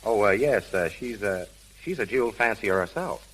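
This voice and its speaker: An actor in a 1930s radio drama playing a terse, guarded gang boss who passes as a gentleman. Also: on the low side, animated, moderate pace, poor audio. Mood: guarded, uneasy. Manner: terse, evasive, hesitant. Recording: hissy, humming 1930s radio broadcast.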